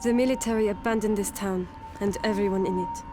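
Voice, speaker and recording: A woman's voice: mid-range voice, steady tone, a little fast, music in the background, close to an everyday voice. An actress playing a gracious but wary woman who survived a plague, polite and sober, in a video game. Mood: somber, bitter. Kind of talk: video game cutscene acting.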